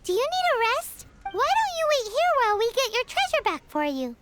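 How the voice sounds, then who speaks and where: A tiny, protective fairy-like sidekick for a video game. Her piping voice is extremely high and swings wildly, brash and exclamatory, over loud-and-clear game music. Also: huge pitch swings, fairly quick, full character voice. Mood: concerned. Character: tiny, bold, squeaky fairy-like companion, bossy and protective.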